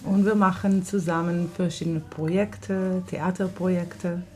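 A woman from Israel with an Israeli accent, an actress and theater director, speaking German slowly, searching for words and laughing often in a radio studio interview. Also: fairly low pitch, steady tone, dark tone, a little hiss or hum.

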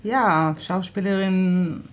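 Low-voiced woman with an Israeli accent. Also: takes it slowly. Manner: hesitant, searching for words with many fillers. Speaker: stage actress and guest. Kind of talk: casual interview talk. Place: radio studio.

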